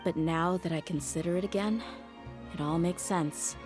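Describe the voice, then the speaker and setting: An actress playing a tough, candid young female mercenary in a video game, wistful but resolute, speaking in a measured, reflective way. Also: lowish voice, close to an everyday voice. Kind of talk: scripted game dialogue. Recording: prominent music under the voice.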